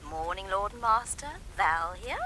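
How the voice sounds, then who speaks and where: A woman's voice: medium pitch, moderate pace, sharp tone, a little hiss or hum, lightly acted, poor audio. An actress playing a breezy, teasing female assistant on the telephone in a radio drama. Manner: teasing, casual. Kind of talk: British radio drama acting.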